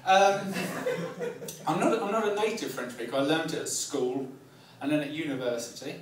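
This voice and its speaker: A man in his forties with a southern English accent, doing live stand-up comedy in a wry, self-deprecating way with halting, repeated phrases. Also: a little high. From Kent, England. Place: live comedy night in a pub venue. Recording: echoey club, distant mic, audience noise. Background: audience laughter.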